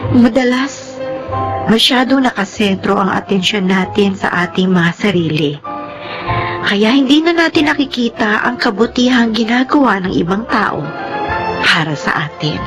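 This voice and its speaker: A woman playing a remorseful, devoted adult daughter in a radio drama, speaking earnestly and tenderly with emotional pauses. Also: medium pitch, expressive, music in the background, close to an everyday voice. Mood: reflective. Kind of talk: Filipino radio drama acting and first-person narration.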